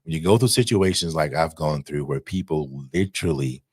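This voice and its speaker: Very deep-voiced man giving emphatic advice. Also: expressive, fairly quick, darkish tone, breathy edge, no room sound, clean studio sound. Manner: emphatic, repeats phrases, checks with "right?". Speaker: insurance broker giving advice. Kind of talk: informal advisory talk to camera.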